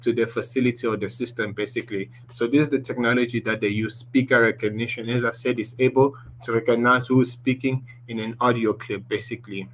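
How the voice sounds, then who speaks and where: Man explaining tech with a deep voice. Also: a little hiss or hum, poor audio. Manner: explaining slides, restarts often, ends points with "basically". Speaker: presenter. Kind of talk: online tech lesson.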